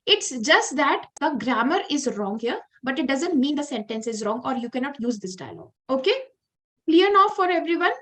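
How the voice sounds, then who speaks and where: Woman, Indian accent, native Hindi speaker, high voice, online class teacher, chatty, video call audio. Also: sing-song, normal speed, fairly bright tone. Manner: chatty, checks in with "okay" and "clear for everyone". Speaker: creative writing teacher.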